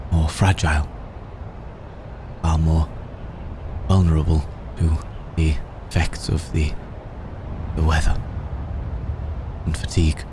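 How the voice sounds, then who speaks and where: A man playing a humble, devoted servant in an ASMR audio roleplay, speaking in halting, deferential, formal phrases. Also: rumbling low. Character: humble, devoted male servant of an exalted undead ruler. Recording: clear background storm ambience noise.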